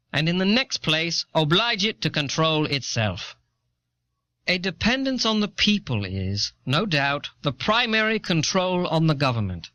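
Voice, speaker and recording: Man acting an animated, earnest founding-era statesman. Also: fairly high pitch, no echo, heavily acted. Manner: formal, deliberate, oratorical. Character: earnest, reasoned 18th-century American statesman. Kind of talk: dramatic reading of historical writings. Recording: dull, dry old audio cassette.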